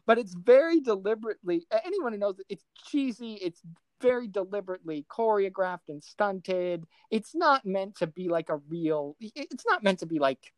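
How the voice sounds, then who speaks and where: A woman's voice: medium pitch, expressive, normal speed, dry recording. A woman co-hosting a casual film discussion podcast, talking in an exasperated, sarcastic way. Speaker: podcast co-host reviewing films.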